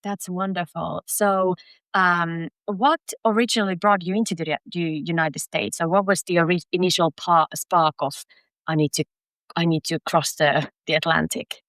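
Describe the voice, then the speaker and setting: A woman's voice: on the low side, steady tone, normal speed, a bit bright, no room sound. A woman hosting a podcast, friendly and encouraging as she welcomes and questions her guest. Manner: friendly, encouraging, laughs often. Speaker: podcast host. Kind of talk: podcast interview.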